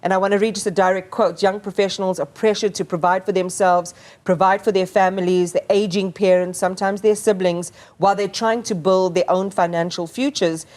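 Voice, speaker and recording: A woman's voice: medium pitch, steady tone, brisk, a little mellow. A woman anchoring a news interview, asking long, thoughtful questions and stumbling over repeated words.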